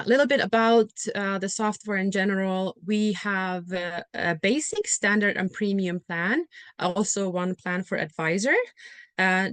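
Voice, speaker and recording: A woman's voice: mid-range voice, fairly bright tone, no echo. Friendly woman presenter. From Finland. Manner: friendly and reassuring, frequent fillers. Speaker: software company presenter. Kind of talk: webinar presentation.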